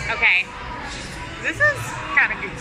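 Young woman, casual review host, slightly high voice, music playing behind, indoor restaurant. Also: wildly swooping pitch, moderate pace, rough recording. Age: young adult. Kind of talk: casual chatty food review. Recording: restaurant chatter and background music.

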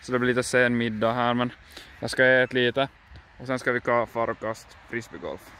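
A man's voice: mid-range voice, steady tone. A young man with a Finland Swedish accent, chatting casually for a vlog outdoors into a handheld camera. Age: young adult. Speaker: vlogger. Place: outdoors by lakes and in forest. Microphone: handheld camera microphone. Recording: windy outdoor action-camera sound, loud noise.

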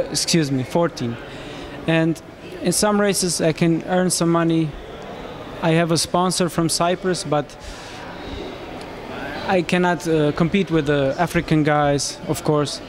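A man in his thirties from Romania, speaking English, answering interview questions into a handheld mic in a small room, with halting phrasing and frequent restarts. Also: on the high side, fairly even intonation, background noise. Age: 30s. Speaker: marathon runner interviewee.

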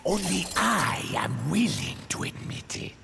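A man's voice: on the high side, big pitch swings, unhurried, vocal fry, over music, heavily acted. A man playing a sly, servile demon lackey in a fighting game. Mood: sly, sneering. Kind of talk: video game fight banter.

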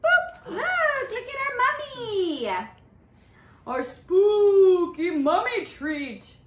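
A woman hosts a kids' cooking class in a high, very lively voice. She talks playfully to a toddler in a sing-song way, recorded in an echoey room. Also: extremely slow. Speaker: preschool teacher hosting a children's cooking class. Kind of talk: child-directed cooking demonstration. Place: small indoor playroom kitchen set. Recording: echoey room with some background noise.

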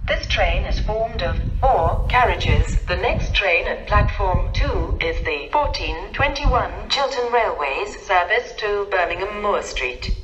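A woman's voice: medium pitch, expressive, slow, few pauses, a bit bright, soft background music. A woman station announcer reading automated announcements in formal, segmented phrases over a public address speaker. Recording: distant, dull, echoing station PA recording.

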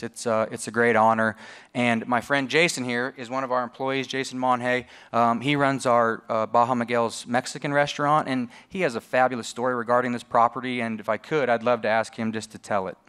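A man's voice: mid-range voice, flat delivery, a little fast, fairly bright tone, clear, resonant tone. A man introducing a colleague on a panel in a large hall, gracious with some hesitations. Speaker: company representative introducing a panelist.

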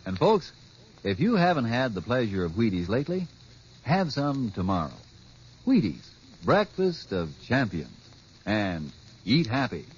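Casting: male sponsor announcer, lively swooping pitch, vintage ad read, very noisy recording. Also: measured pace. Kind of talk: 1950s radio ad pitch. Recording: muffled old radio recording, heavy noise.

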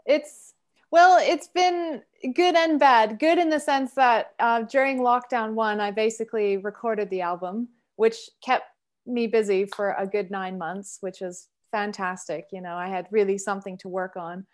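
A woman in her thirties from Canada, a singer and sax player, chatting as a guest on a video call from home in an expressive, storytelling way. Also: medium pitch, a little slow. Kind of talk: casual interview chat. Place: home room, indoors.

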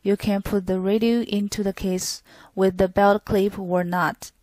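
Slow, even-toned woman narrating a product demo. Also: medium pitch, no room sound.

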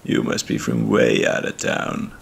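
A man playing a short, menacing back-alley thug in audio fiction, growling in a slow, mocking drawl. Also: a little hiss or hum, close to an everyday voice. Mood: mocking menace. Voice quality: gravelly growl. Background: rain.

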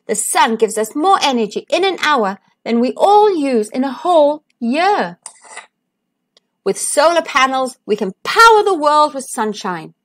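Storybook sunbeam, woman performing: high, lively, friendly, eager explainer. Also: measured pace. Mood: earnest, upbeat. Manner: bright, emphatic explaining to a child. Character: cheerful talking male sunbeam, keen friendly explainer. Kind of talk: children's storybook read-aloud.

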